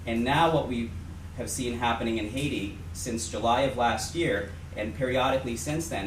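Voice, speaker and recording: A man speaking on a panel, earnestly reading out a list of headlines. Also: mid-range voice. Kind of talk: panel talk. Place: lecture hall. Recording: echoey room, mic far off, faint noise.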